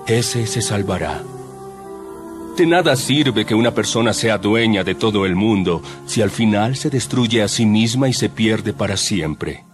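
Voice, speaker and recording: An actor playing a solemn, authoritative male teacher in a dramatized audio Bible, grave and commanding. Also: on the low side. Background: prominent music.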